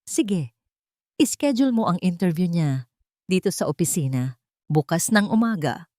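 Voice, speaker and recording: A woman's voice: on the low side, lively intonation, normal speed, mellow, no echo, natural voice. Woman voicing a cool, exacting male boss, dry sound. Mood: composed, exacting.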